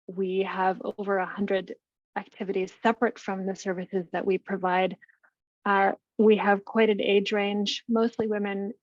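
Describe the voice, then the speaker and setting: A woman who manages a seniors network, presenting her program in a webinar with lively energy and some restarts. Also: medium pitch, no room sound, muffled recording. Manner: energetic, talks through slides with restarts. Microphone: video call.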